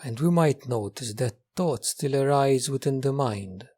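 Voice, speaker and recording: A man leading a guided meditation as its teacher, giving gentle instructions in a calm, measured way with repeated phrases and long pauses. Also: medium pitch, breathy edge.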